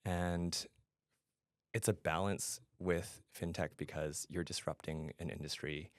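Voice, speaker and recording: A man's voice: low-pitched, fairly even intonation, unhurried, no echo, clean studio sound. A middle-aged man from Canada speaking conversationally as a guest in an interview. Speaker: podcast guest, fintech product adviser and founder.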